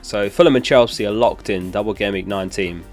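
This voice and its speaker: A man's voice: lowish voice, brisk, soft background music. A young man hosting a live stream, chatting casually and answering questions from chat while getting over a lost voice. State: recovering from a lost voice. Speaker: streamer host.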